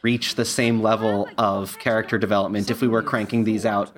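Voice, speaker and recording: A man's voice: lowish voice, little pitch movement, fairly quick. Wry man giving casual commentary.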